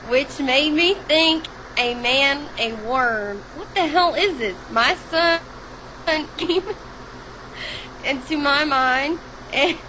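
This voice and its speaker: A woman's voice: high voice, sharp tone. A young woman in her twenties on a casual podcast, giggling often and stumbling as she reads aloud. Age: 20s. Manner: giggly, reads haltingly with frequent laughs. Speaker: podcast co-host. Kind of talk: casual chat, reading aloud. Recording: dull lo-fi podcast recording with faint noise.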